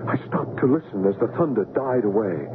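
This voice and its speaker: Anxious American husband, low voice, measured radio-play storyteller, solicitous, tinny noisy old broadcast. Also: male voice, very animated. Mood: dread. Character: anxious American husband, a writer recounting a dreadful memory. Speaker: actor narrating and playing the husband. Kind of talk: 1970s radio drama acting, first-person narration.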